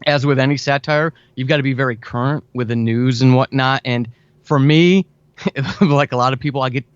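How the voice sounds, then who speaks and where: A man's voice: medium pitch, normal speed, smooth, dry recording, highs cut off. An American man talking chattily and with enthusiasm as a guest in a conversational interview, repeating words for emphasis. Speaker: podcast guest, audio drama writer.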